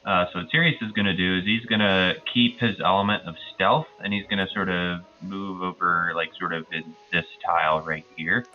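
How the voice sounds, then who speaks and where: A man's voice: sits low, steady tone, normal speed, few pauses, highs cut off, soft background music. A man playing a tabletop game, casually and hesitantly piecing out his move aloud.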